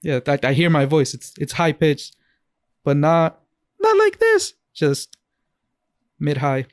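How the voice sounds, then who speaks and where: A man's voice: fairly high pitch, wildly swooping pitch, takes it slowly, smooth, dry recording. A man co-hosting a podcast, chatting casually in a playful, rambling way on a close mic. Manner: playful, rambling, talks over others. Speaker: podcast co-host. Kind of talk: casual chat.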